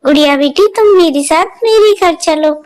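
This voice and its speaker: A woman voicing a kind, motherly sparrow who speaks gently and soothingly. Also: very high-pitched, moderate pace. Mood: comforting.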